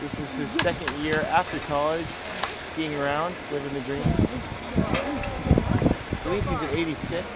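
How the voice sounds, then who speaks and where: A man's voice: fairly high pitch, unhurried, long pauses. An eager young man calls a ski race as a fan commentator, reeling off facts and cheering skiers on. He is recorded outdoors on a camcorder, with heavy background noise. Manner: eager, informative, cheering out loud. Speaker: forerunner doing impromptu commentary. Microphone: camcorder mic nearby.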